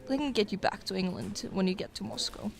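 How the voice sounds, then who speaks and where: A woman's voice: medium pitch, fast, gravelly, lightly acted. A woman playing a cool, commanding Soviet sniper lieutenant in a radio drama. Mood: businesslike.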